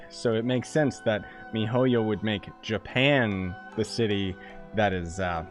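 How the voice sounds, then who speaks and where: A young man doing casual live game commentary in an excitable, playful, slangy way. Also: on the low side, takes it slowly. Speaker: game streamer. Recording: game music behind the voice. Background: game music.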